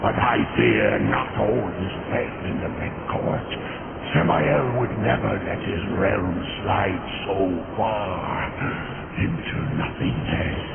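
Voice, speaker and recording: A sly demon merchant. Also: male voice, on the low side, very animated, slow pace, creaky, exaggerated voice. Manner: sly, sardonic. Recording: lo-fi tinny audio with loud noise.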